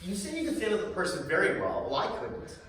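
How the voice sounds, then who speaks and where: A man's voice: high voice, wildly swooping pitch, quick pace, a bit bright, heavily acted. Actor as troubled male patient, very echoey, distant. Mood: defensive, earnest. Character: Sam, troubled, defensive male psychiatric patient trying to remember. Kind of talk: theatrical stage acting. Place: live stage showcase in a room. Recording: very echoey room, distant mic, noisy background.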